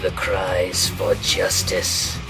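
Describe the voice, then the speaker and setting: A man's voice: low voice, monotone, very slow, gravelly, exaggerated voice, low-quality recording. A man playing a grim, vengeful villain who preaches justice with solemn menace in a fantasy audio drama. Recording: loud music behind.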